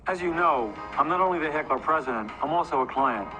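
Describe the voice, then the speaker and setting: A man giving a 1980s commercial pitch as a company spokesman. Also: lively intonation, quick pace. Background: music.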